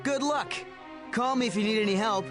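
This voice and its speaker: A man's voice: high voice, brisk, music in the background, natural voice. A man voicing a cocky, eager young Jedi student in a video game.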